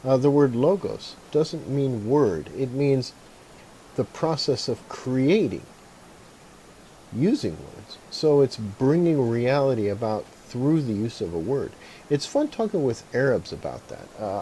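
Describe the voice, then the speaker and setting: A man's voice: medium pitch, dark tone, slight background noise, rough recording. A man talking casually and reflectively about religion, rambling with many fillers and restarts. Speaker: video maker. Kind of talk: casual talk to camera.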